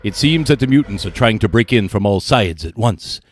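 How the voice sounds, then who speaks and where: A man's voice: fairly low pitch, lively intonation, moderate pace, a little hiss or hum, natural voice. A man playing an urgent professor leading survivors in a radio play. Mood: urgent warning.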